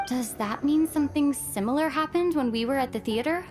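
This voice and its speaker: A woman playing a gentle, caring young traveler hero in a video game, speaking calmly. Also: high voice, fairly quick, soft background music, close to an everyday voice. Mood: curious, serious. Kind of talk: video game cutscene acting.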